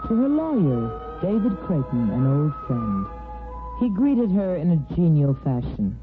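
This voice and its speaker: Expressive woman narrator of an old radio soap. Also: low voice, unhurried. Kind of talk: scripted dramatic storytelling, 1950s radio soap. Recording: tinny old radio broadcast with music.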